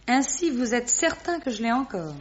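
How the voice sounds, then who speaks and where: An actress playing a poised, witty, teasing high-society lady in a French radio drama, with a playful, mocking, theatrical delivery. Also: big pitch swings, moderate pace, slight background noise. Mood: teasing, challenging. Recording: dull lo-fi radio recording.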